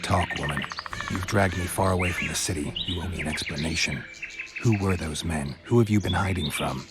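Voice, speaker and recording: A gruff monster hunter played by a male actor from a fantasy video game. His voice is very low and gravelly. He talks in terse, deadpan, suspicious phrases over prominent music. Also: brisk, lightly acted. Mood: demanding. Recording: loud music behind the voice.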